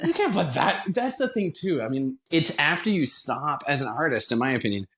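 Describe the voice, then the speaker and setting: A man's voice: on the high side, very animated, a little fast, a bit bright. A man talking casually and enthusiastically as an interview guest, with emphatic turns of phrase. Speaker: podcast guest, theater founder.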